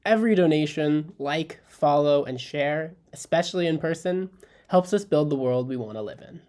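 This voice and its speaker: A woman's voice: low voice, animated, normal speed, dark tone. A woman reading a scripted podcast announcement.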